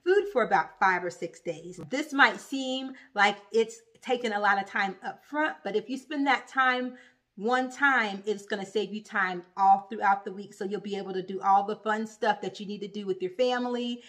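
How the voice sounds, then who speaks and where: A woman's voice: mid-range voice, moderate pace, fairly bright tone. A woman hosting a cooking vlog from her home kitchen, chatting upbeat with viewers and checking in with "okay?" and "you guys". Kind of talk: casual how-to chat to viewers.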